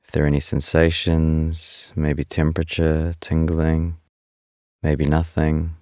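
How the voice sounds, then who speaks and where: A man leading a guided body scan meditation in a calm, unhurried, soothing way, leaving long pauses between instructions. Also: very deep voice, no room sound, studio quality.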